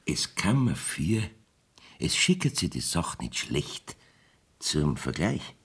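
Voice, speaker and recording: A man's voice: rumbling low, very animated, slow pace, fairly bright tone, full character voice. An actor playing a wily, evasive village judge in a Bavarian dialect radio play, blustering and wheedling as he rambles. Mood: sly, evasive.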